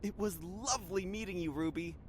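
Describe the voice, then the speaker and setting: A man's voice: high-pitched, brisk, slight background noise, lightly acted. A man playing a timid, polite roommate in a comedy audio drama, hesitant and awkward in delivery.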